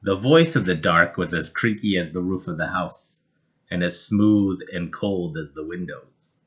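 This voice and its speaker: A man reading a children's picture book aloud in an expressive, measured and dramatic storytelling style. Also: low voice, dull audio. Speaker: storybook reader.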